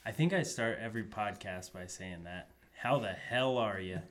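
A man hosting a podcast, chatting casually with frequent fillers in a relaxed way. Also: on the low side, normal speed. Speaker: podcast host. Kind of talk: casual interview chat.

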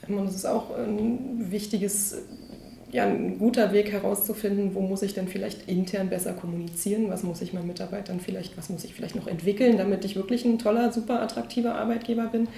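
A woman's voice: medium pitch, steady tone, fairly quick, gravelly, mellow, reverberant, slight background noise. A woman from Berlin, an employer branding consultant, explaining her work in an interview with many fillers. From Berlin, Germany. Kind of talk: interview answers.

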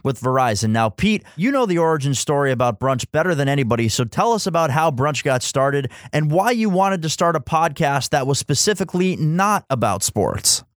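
A man's voice: fairly high pitch, sing-song, a little fast, hardly any pauses, no echo, studio quality. A man hosting sports radio, reading updates and wrapping up shows.

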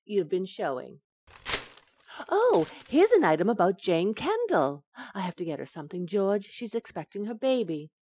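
A woman playing a teasing, quick-witted housewife in an old-time radio sitcom, with wry comic timing. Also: mid-range voice, sing-song, dry recording. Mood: wry, exasperated. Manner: wry, sharp comic retorts.

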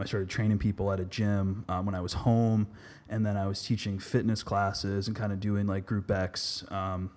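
A man in his twenties from Massachusetts, a physical therapy student talking casually as a podcast guest, relaxed and chatty with lots of "kinda" and "um". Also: on the low side. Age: 20s.

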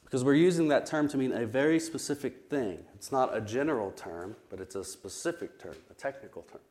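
A man teaching a Bible study class, his voice still recovering from an illness, explaining terms carefully with frequent pauses and restarts. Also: medium pitch, expressive, a little mellow.

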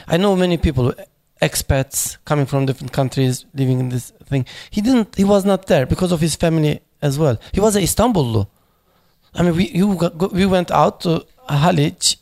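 A man speaking at a memorial panel, halting and searching for words, with many fillers and restarts in English that is not his first language. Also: fairly high pitch, creaky, a little mellow. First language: not English; foreign accent in Turkish noted.

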